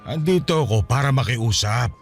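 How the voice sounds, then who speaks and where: A man's voice: medium pitch, lively intonation, normal speed, creaky, soft background music. A man acting a pleading, bitter, drunken husband and father in a Filipino radio drama. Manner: wheedling, then accusing.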